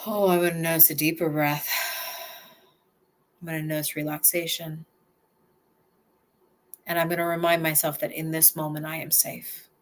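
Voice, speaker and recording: A woman with a lowish voice leads a relaxation exercise. She talks slowly and softly, narrating her own breathing and movement as she goes, with sighs between phrases. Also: little pitch movement. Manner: slow, soft, first-person self-guiding with sighs. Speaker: somatic exercise guide.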